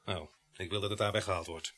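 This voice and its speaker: An actor playing a wry, bossy police sergeant in a radio play, sardonic and patronising. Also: male voice, sits low, fairly even intonation, quick pace, bright, forceful tone. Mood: sardonic, dismissive.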